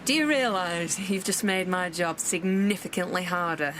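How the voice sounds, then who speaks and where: A woman's voice: fairly low pitch, animated, moderate pace, a bit bright, a little hiss or hum, low-quality recording. A woman playing a no-nonsense detective inspector in an audio drama, dry and sardonic. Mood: dry, exasperated. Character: dour, no-nonsense female police detective inspector. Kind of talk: naturalistic audio drama acting.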